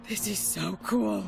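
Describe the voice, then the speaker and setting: A woman playing a geeky, excitable young superheroine in a video game, gushing eagerly. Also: mid-range voice, gravelly. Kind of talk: video game cartoon acting. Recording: music behind.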